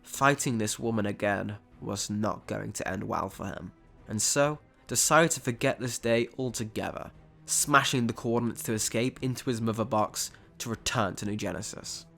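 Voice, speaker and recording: A man's voice: lowish voice, normal speed, vocal fry, a bit bright. Man narrating a dramatic story over music.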